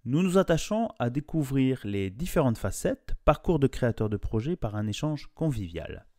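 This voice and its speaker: A man's voice: medium pitch, big pitch swings, moderate pace, a little mellow, dry recording, studio quality. A man hosting a podcast, reading a friendly intro and guiding the interview. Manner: friendly, slightly scripted intro. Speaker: podcast host.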